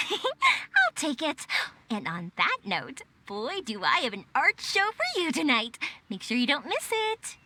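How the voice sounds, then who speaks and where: Bright, bouncy young fireworks maker. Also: female voice, high-pitched, huge pitch swings, measured pace, slight background noise, full character voice. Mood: pleased, teasing. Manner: breezy, playful. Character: breezy, playful young woman fireworks maker. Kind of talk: video game voice acting.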